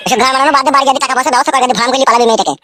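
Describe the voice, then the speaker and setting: A man's voice: very high voice, rapid-fire, pushed and bright, faint music behind. A man voicing a cheeky, foul-mouthed, henpecked village husband in a comedy cartoon, with a rural West Bengal accent. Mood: brash, egging on. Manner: brash, colloquial.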